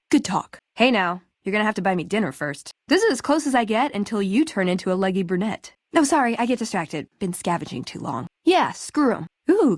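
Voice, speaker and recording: A woman's voice: mid-range voice, dry recording, clean studio sound. An American actress playing a spirited, sarcastic young woman, a scrappy scavenger and brawler companion in a video game. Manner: sarcastic, playful, quippy. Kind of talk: video game dialogue acting.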